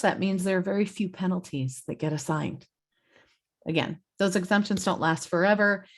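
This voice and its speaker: A woman giving an explanatory slide presentation as a webinar presenter, in a conversational way. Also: medium pitch. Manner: conversational, explanatory, checks in with "right?". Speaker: webinar presenter, program expert.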